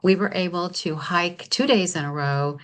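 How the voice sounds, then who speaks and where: A woman's voice: on the low side, normal speed, a bit bright. A woman in her seventies sharing an enthusiastic, chatty testimonial on a video call, often restarting her sentences. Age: 70s.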